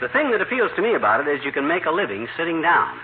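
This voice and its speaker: Excitable folksy braggart on old radio. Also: male voice, fairly high pitch, rapid-fire, narrow, tinny audio. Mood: breezy, folksy. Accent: American. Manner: folksy, boastful, slangy. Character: boastful, folksy husband fancying himself a great author. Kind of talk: 1940s radio comedy acting. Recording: noisy, thin old radio recording.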